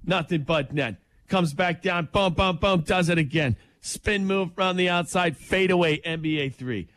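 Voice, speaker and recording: A man co-hosting a morning radio show, talking sports in an emphatic, excited way and laughing easily. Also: high-pitched, moderate pace, dry recording.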